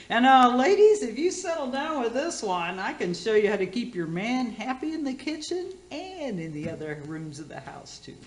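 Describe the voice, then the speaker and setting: A tipsy, bawdy auntie hosts a comedy radio show in a roomy, echoing space. Her highish voice swoops up and down. She gushes and rambles, tripping over her words with brash confidence. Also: big pitch swings, moderate pace. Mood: brash, teasing. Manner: rambling, gushing, boozy word slips. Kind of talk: community theater radio comedy acting.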